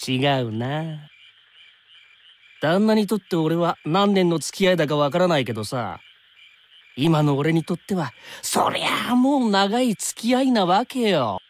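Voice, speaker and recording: An actor playing an easygoing young time traveler in a Japanese anime game, chatting in casual slang with an undercurrent of worry. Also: male voice, fairly high pitch, expressive, a little slow. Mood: puzzled, teasing.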